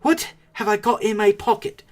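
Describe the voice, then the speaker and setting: Guy doing a small plucky adventurer in a storybook, higher raised voice, trying to sound brave, very animated. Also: moderate pace. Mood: bold, insistent. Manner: insistent, raised, theatrical delivery. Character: small male adventurer, nervous but acting bold and cheerful.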